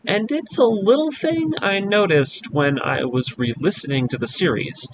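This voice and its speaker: A man hosting a video essay, narrating a scripted fan theory with emphatic, deliberate stresses on key words. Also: high-pitched, noisy background. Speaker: host of an anime breakdown video series. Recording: music behind the voice. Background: music.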